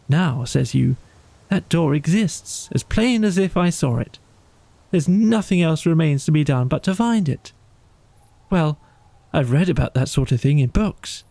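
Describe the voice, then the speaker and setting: Naive male storybook mole, earnest and long-winded, lifted pitch, a touch creaky man performing. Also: dark tone, no room sound, exaggerated voice. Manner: emphatic, rambling, earnest. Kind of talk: animal character in a children's storybook.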